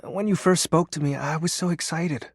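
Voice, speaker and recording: A man's voice: fairly high pitch, moderate pace, slightly rough, dry recording, clean studio sound. A man voicing the personification of darkness in a video game, a shy, sentimental, awkward self-styled shadow lord who speaks hesitantly with bursts of theatrical brooding. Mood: wistful, hopeful.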